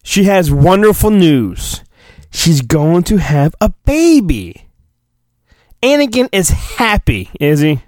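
A man's voice: a little high, sing-song, slow pace, a little mellow, dry recording, studio quality. A male podcast host giving a mock-dramatic storybook reading, with hammy emphasis and rambling joking asides. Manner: hammy, exaggerated emphasis, digressive.

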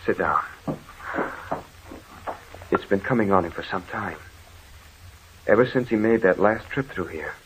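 An actor playing a concerned, steady brother in an old radio horror drama, trying to reason and reassure. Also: male voice, low voice, measured pace, pauses often, a little hiss or hum. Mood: subdued, reassuring. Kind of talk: vintage radio horror acting. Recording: dull old-time radio recording.

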